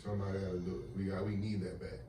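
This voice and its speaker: Deep-voiced laid-back young man. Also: flat delivery, very fast, background noise. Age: 20s. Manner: laid-back, repeats phrases for emphasis. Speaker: podcast co-host. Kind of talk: casual chat. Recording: echoey room, far from the mic, music behind.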